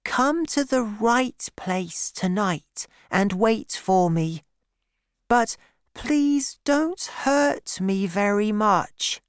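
A man voicing a gentle, sad little boy prince, speaking softly and earnestly. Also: sits high, lively intonation, extremely slow, smooth, dry recording, studio quality, pitched above the performer's own voice, heavily acted. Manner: soft, earnest, wistful.

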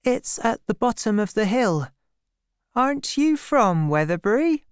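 A woman voicing a shy, secretive young village girl with a soft voice in a storybook reading. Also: medium pitch, takes it slowly, clear, resonant tone, dry recording, studio quality, voice pushed up, natural voice.

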